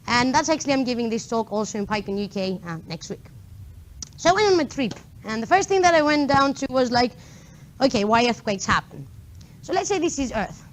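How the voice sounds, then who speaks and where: A young woman with a Greek accent speaks to a room of listeners in a fairly high voice, lively and informal, with frequent ums and quick asides. Faint room noise sits under her voice. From Greece. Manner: lively, chatty with the audience, many fillers. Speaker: conference speaker. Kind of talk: meetup tech talk. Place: lecture room before an audience.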